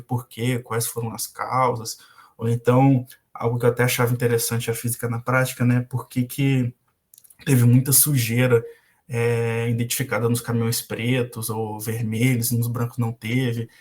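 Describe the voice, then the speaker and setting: A man's voice: medium pitch, flat delivery, moderate pace. Man, young adult, Brazilian accent, casual online lecture, video call mic, hesitant with many fillers. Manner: hesitant, frequent filler "é" and restarts. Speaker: guest lecturer.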